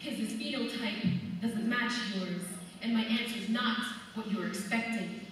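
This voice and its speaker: A woman's voice: on the high side, a little slow. A woman from Maryland performing spoken word poetry in a pointed, questioning recitation. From United States (Maryland). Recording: echoey hall, distant mic, music behind.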